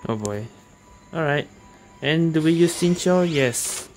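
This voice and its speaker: A man's voice: fairly high pitch, lively intonation, measured pace. A man giving casual let's play commentary as he streams a game. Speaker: gamer, streamer. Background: game music.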